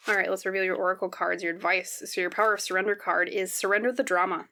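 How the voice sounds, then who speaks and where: A woman with a slightly scratchy voice giving a casual, conversational tarot reading to her viewers, explaining gently and often checking in. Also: medium pitch, fairly even intonation, quick pace. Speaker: tarot reader, host.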